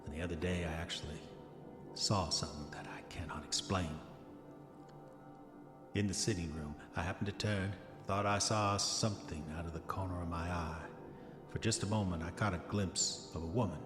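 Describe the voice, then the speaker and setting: An actor playing a troubled, proudly rational man of the early 1900s, reading his diary in a formal, measured, insistent way for a horror audio drama. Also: sits low, steady tone, lightly acted. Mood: haunted, puzzled. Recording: roomy echoing reverb, music underneath. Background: music.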